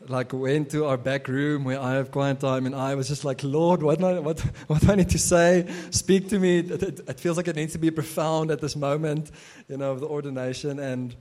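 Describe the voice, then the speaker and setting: A man's voice: a little high, a little mellow. A young adult man whose first language is Afrikaans, preaching a casual sermon as pastor, with many fillers and restarts.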